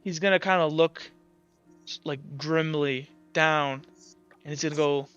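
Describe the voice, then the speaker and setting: High, bright-voiced man narrating a game. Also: fairly even intonation, unhurried, clean tone, dull audio. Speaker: game master. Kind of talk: improvised narration of a tabletop game. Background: music.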